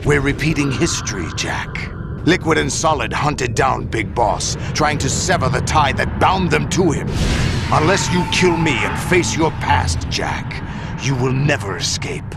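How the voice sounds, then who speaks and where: An actor playing a scarred old warlord and foster father who speaks in a grave, slow and solemn way, in a video game cutscene. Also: mid-range voice, lively intonation, creaky, loud music behind the voice. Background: loud score.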